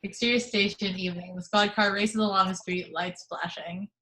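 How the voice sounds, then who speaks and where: A woman's voice: mid-range voice, fairly even intonation, normal speed, bright, forceful tone, poor audio. Woman reading stage directions. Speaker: reader of the action description. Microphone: video call microphone at home.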